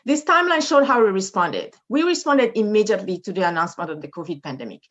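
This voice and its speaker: A woman with a French Haitian accent delivers a formal presentation as a medical director, measured and steady, walking through figures with the odd filler. Her voice sits at a middle pitch. The video call audio is a little dull. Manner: measured, formal, reading data with occasional fillers. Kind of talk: conference presentation. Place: in front of a brick wall indoors.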